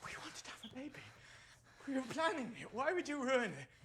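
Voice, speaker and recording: Guy playing a possessive abusive boyfriend, hushed then screaming, lots of background noise. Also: high-pitched, big pitch swings, a little fast, full character voice. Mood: furious. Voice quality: shifts from hushed whisper to raging shouts. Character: possessive, violent adult male partner.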